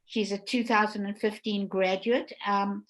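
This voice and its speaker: A woman's voice: medium pitch, flat delivery, unhurried, low-quality recording. A woman moderating a live webinar informally, chatty and digressive, often correcting herself as she goes. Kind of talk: informal live panel hosting.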